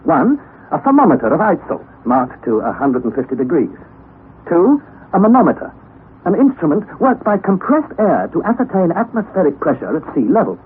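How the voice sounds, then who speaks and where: A man's voice: fairly high pitch, wildly swooping pitch. Young man narrating an old radio drama. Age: young adult. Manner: measured, listing carefully. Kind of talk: first-person dramatic narration. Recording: tinny old radio broadcast with hiss.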